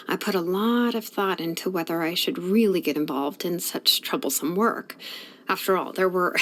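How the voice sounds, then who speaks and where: An actress voicing a laid-back, sleepy young female blacksmith in a video game, with a relaxed, drawling, casual way of talking. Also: lowish voice, lightly acted.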